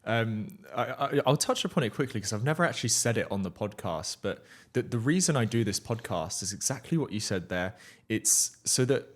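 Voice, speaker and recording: A man hosting a casual podcast interview in a low voice. He speaks earnestly and encouragingly, stumbling over a few restarts as he talks. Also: lively intonation, fairly quick, hardly any pauses. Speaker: podcast host.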